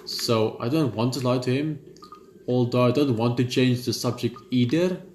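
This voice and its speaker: A man at a middle pitch reads a story game's narration aloud and breaks off into casual chat. He stumbles and restarts words and swears now and then. The room sounds roomy, with a faint hum underneath. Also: moderate pace, a little mellow. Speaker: gaming channel host. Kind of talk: reading a visual novel aloud with commentary.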